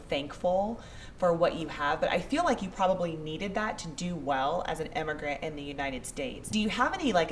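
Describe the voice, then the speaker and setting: Low-voiced female interviewer, faint hiss. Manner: curious, warmly teasing questions. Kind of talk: conversational interview.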